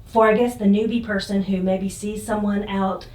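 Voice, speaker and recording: A woman's voice: medium pitch, fairly even intonation, moderate pace, a little mellow. Woman interviewer in an echoey room. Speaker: interviewer asking beginner questions. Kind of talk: casual question-and-answer interview. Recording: roomy, echoing voice pickup.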